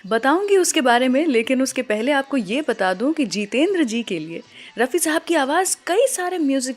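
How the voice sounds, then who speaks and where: High-voiced Indian woman radio host. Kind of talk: scripted radio presentation with storytelling.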